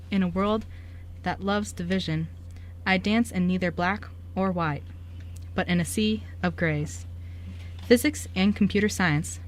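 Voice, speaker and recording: A young woman doing amateur scripted reading as a narrator and poetry reader. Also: mid-range voice.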